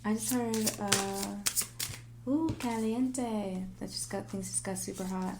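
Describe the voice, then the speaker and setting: A woman hosting a tarot livestream in casual, animated, informal talk, thinking aloud and repeating phrases for emphasis, her voice turning a little raspy. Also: mid-range voice, slow pace, background noise. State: voice getting raspy. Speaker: tarot reader and livestream host.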